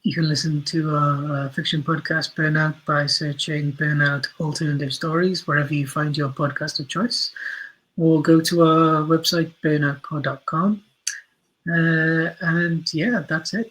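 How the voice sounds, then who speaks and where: A male podcast guest talks casually and reflectively. His voice sits a little high for a man and moves within a narrow range. He is earnest, often restarting a phrase as he thinks. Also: a bit bright. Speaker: podcast guest, writer and director.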